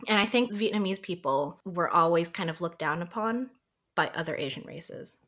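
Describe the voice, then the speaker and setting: Casting: woman from Canada, low-ish pitch, relaxed guest chatter on a call, filler-heavy. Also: moderate pace. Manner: chatty, many "like" fillers, laughs easily. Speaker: podcast guest. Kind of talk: casual chat. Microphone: remote call.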